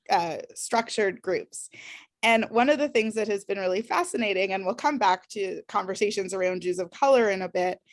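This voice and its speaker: A woman's voice: medium pitch, no echo, clean studio sound. A woman facilitating a discussion workshop, explaining ideas thoughtfully with frequent ums and restarts.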